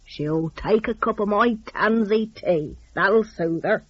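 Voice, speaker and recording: Lady doing kindly old shepherd grandpa from a story, rustic n soothing, husky, bit muffled lofi recording. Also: mid-range voice, takes it slowly, no echo. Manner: folksy rustic speech with soothing endearments.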